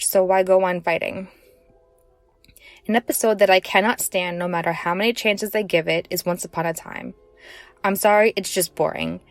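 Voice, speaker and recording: A woman's voice: lowish voice, dry recording. A woman giving a prepared personal commentary as a listener contributing to a podcast.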